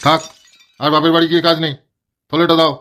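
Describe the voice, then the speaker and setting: A cranky middle-aged village husband, voiced by a man, rattles along at a brisk clip in a slightly high voice. He curses at every turn and grumbles about everything. It sounds like a cartoon comedy. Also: little pitch movement, background noise, lightly acted. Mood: grumbling. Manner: swears constantly, complains loudly.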